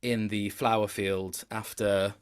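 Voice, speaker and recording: Guy with a lowish voice in a casual interview, thinking out loud, kinda hesitant. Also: level tone, slow pace, smooth. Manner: hesitant, reflective, thinking aloud. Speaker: guest, game voice actor.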